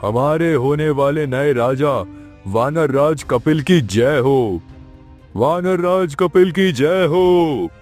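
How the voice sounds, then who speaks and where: A man's voice: fairly high pitch, takes it slowly, a little mellow, soft background music. A man playing a loyal soldier in a cartoon story, proclaiming his lines like a chant. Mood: triumphant. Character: loyal cheering soldier hailing his king. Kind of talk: Hindi cartoon moral-story voice acting.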